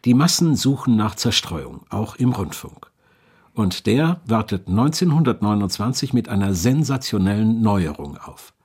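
A man's voice: lowish voice, big pitch swings, normal speed, a little mellow, husky. A man reading scripted historical narration as a documentary narrator.

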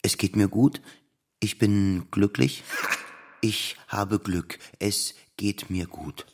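A man's voice: fairly low pitch, takes it slowly, darkish tone, slightly rough, full character voice. An actor playing a self-doubting, depressed man in an intimate, brooding first-person radio play monologue. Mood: bleak, self-loathing. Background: music.

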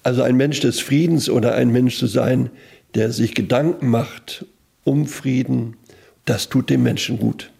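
A man with a raspy, gravelly voice answering as a clergyman in an interview, earnest and pausing to think. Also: medium pitch, fairly even intonation, dark tone. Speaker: auxiliary bishop.

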